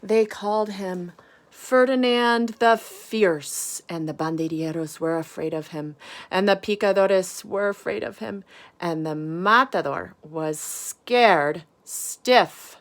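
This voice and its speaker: A woman teacher reading a picture book aloud to children, with deliberate dramatic emphasis and questions for the listeners. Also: lowish voice.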